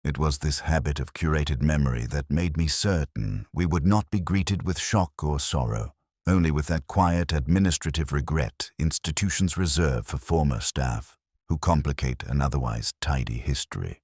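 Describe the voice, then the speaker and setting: Casting: man, middle-aged, very low voice, dry close sound, measured reflective narrator for literary detective fiction. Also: a little mellow, breathy edge, no room sound. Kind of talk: scripted first-person detective story narration.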